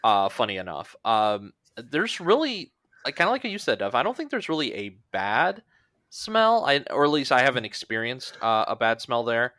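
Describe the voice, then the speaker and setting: A man with a mid-pitched voice co-hosts a casual podcast. He is easygoing and hesitant, with loose phrasing, and sounds close and dry. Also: wildly swooping pitch, normal speed, pushed and bright, no echo. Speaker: podcast co-host.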